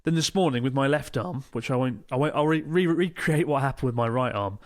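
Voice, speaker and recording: A man's voice: medium pitch, fairly quick, no room sound, clean studio sound. A man co-hosting a podcast in casual chat, telling stories with plenty of swearing. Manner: swears freely, animated storytelling. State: in pain from a strained arm muscle. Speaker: podcast co-host.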